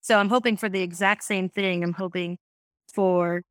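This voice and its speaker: A woman's voice: mid-range voice, fairly even intonation, normal speed, fairly bright tone, smooth, dry recording, clean studio sound. A woman speaking as a guest researcher in a casual chat, rambling and thinking aloud with many fillers. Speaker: podcast guest, survey researcher.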